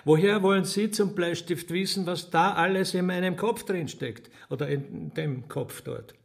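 A roguish trickster in a radio play speaks in a rather high voice. He is flowery and mock-courtly, stretching out his hesitations with theatrical relish. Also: normal speed, vocal fry. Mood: amused, provoking. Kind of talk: radio drama acting.

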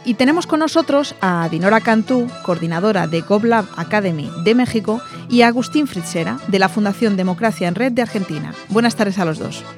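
Brief: female radio co-host, mid-pitched, chatty delivery with slips, faint music underneath. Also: animated, fairly quick, few pauses. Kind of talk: scripted radio presenting.

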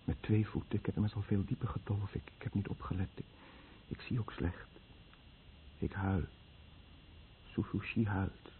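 A man's voice: on the low side, steady tone, normal speed, long pauses, natural voice. An actor playing a solemn, guilt-ridden and stubborn Japanese boatman who faces death in a radio play. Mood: grave, sorrowful. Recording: muffled, noisy old radio play recording.

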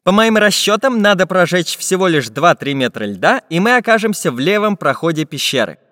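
A man voicing a cheerful iron male inventor with a cheery, brisk manner. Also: sits high, sing-song, bright, forceful tone, dry recording, studio quality, voice pushed up, exaggerated voice.

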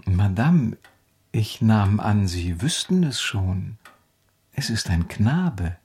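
A nervous, deferential country doctor in a radio drama. His voice is low, soft-edged and husky, and he picks his words timidly, with great politeness. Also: expressive, slow, heavily acted. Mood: cautious, deferential. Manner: timid, hesitant, overly polite.

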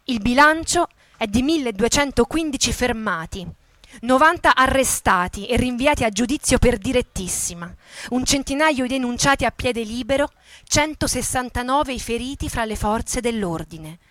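High-voiced woman, dramatic narration. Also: animated, moderate pace, a bit bright, dry recording. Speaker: actress-narrator in radio theatre.

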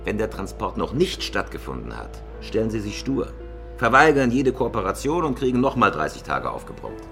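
A man's voice: lowish voice, huge pitch swings, moderate pace, gravelly, fairly bright tone, faint music behind, full character voice. A man playing a cool, calculating career criminal in a crime radio drama, curt, commanding and matter-of-fact.

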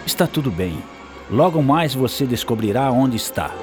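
A man acting as a calm, reassuring storyteller in a radio drama. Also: mid-range voice, moderate pace, over music, natural voice. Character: calm, reassuring male storyteller guiding a girl. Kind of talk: scripted radio drama narration.

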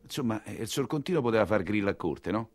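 Vetturino romano espressivo, voce mobilissima. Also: male voice, lowish voice, quick pace, no room sound, clean studio sound. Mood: wry storytelling. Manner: colloquial, expressive, exclamatory. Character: Ninetto, wary, streetwise 19th-century Roman coachman telling his tale. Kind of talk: theatrical monologue storytelling in dialect. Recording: music behind the voice. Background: music.

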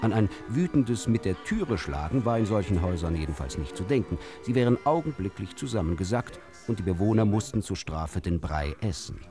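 An actor playing a wry storyteller in a musical radio play, reciting deliberately and theatrically with pointed pauses. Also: male voice, fairly low pitch, lively intonation, faint music behind. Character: wry male storyteller of absurd fairy tales. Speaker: narrator.

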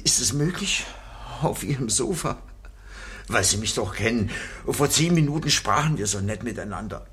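An actor playing an injured, pleading letter carrier with a husky voice in a German radio play. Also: male voice, mid-range voice, animated, normal speed, fairly bright tone. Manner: plaintive, pleading. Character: injured, plaintive male letter carrier with a twisted ankle.

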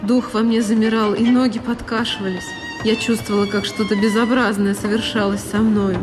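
A woman's voice: mid-range voice, flat delivery, a little fast. A woman giving an emotive, theatrical first-person narration of a literary drama. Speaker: solo performer, narrator. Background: music.

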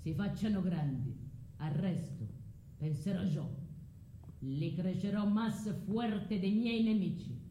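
A woman's voice: slow, dark tone. A woman voicing a smug, condescending husband in a radio play, declaiming in Italian sprinkled with Spanish words. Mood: boastful, firm. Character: smug, condescending husband boasting of his riches. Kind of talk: theatrical radio monologue. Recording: roomy echo, low rumbling noise.

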